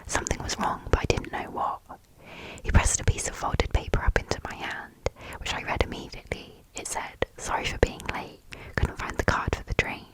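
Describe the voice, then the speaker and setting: A woman reading a story aloud in a soft, fully unvoiced ASMR whisper, close to the microphone. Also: normal speed, rough recording.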